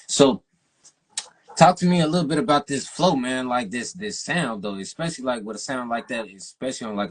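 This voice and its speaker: A young man with a husky voice hosts an interview on a local radio show. His talk is casual and slangy, full of "you know what I'm saying". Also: on the low side. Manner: loose slangy talk, lots of "you feel me".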